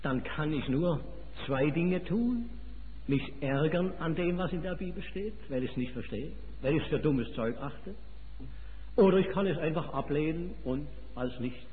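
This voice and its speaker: Swiss German male preacher, a bit high-voiced, emphatic, lo-fi hall recording. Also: expressive, normal speed. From Switzerland. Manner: emphatic, rising and falling delivery. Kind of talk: sermon. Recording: phone-like, reverberant hall.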